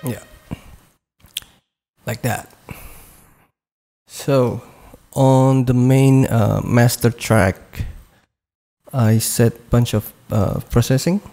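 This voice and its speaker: A man slowly talking through a music production project over a screen recording, with frequent pauses, "uh" and "okay". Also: mid-range voice, steady tone, vocal fry, mellow. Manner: slow, hesitant walkthrough with frequent "uh" and "okay".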